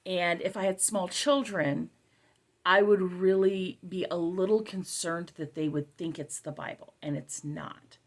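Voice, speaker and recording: A middle-aged woman with a slightly low-pitched voice talks casually, as a reviewer addressing viewers. She leans on key phrases and repeats them to stress her point. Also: fairly even intonation, measured pace. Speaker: homeschool product reviewer.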